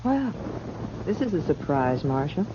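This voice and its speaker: A dull, slightly noisy vintage radio recording of a young saloon girl in a western. Her voice is rather low, coy and guarded. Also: sing-song, a little slow. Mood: coy, casual. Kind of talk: 1950s radio western acting.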